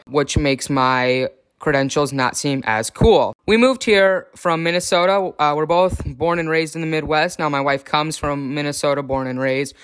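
Candid young man with a smooth voice. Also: a little high, fairly bright tone. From Chicago area, USA. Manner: candid, upbeat, self-deprecating humor. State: voice changing on testosterone, occasional cracks. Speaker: vlogger. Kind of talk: casual personal vlog.

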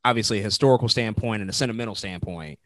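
A man co-hosting a casual wrestling chat, laid-back and thoughtful, often pausing on "um". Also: on the low side, dry recording, clean studio sound. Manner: relaxed, thoughtful, with fillers. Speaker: wrestling podcast co-host.

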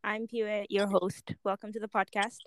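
A woman hosting a podcast in casual, excitable chat, with informal, slangy phrasing. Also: mid-range voice, normal speed, no room sound. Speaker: podcast host.

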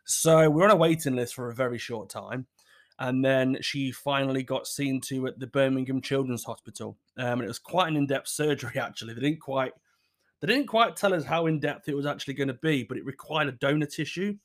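A man's voice: mid-range voice, brisk. A man in his thirties from England hosting his own podcast, talking casually in a rambling, apologetic way with a scratchy, sore throat. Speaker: podcast host. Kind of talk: casual solo podcast chat.

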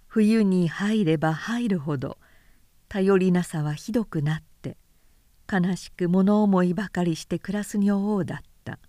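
A woman narrator reading classic literature aloud in a measured, careful audiobook delivery. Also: on the low side, mellow, no room sound, studio quality.

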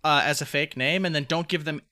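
A man's voice: fairly high pitch, steady tone, a little fast, sharp tone. A man co-hosting a podcast in casual comedic banter, riffing animatedly and swearing freely. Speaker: podcast co-host.

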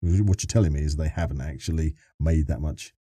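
A man's voice: extremely low pitch, a little fast, warm tone, husky, no room sound, studio quality. A man co-hosting a podcast in a casual, chatty interview style, wordy and thinking aloud as he goes.

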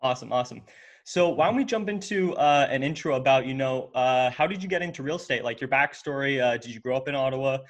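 Man, podcast host, casual interview, filler-heavy, mid-pitched voice. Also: fast talker. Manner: loose, filler-heavy, with frequent "uh" and "you know".

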